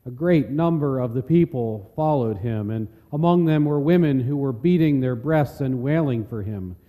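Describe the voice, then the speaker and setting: A man's voice: mid-range voice, expressive, moderate pace, mellow. A man narrating a solemn, dramatic scripture reading. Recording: long reverb of a large hall.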